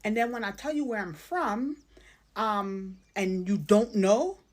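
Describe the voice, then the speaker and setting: A woman with a Caribbean accent talks casually and explains her view with emphasis. Her pitch is mid-range, and she often checks that the listener is following. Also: a little slow. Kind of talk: casual opinion talk to camera.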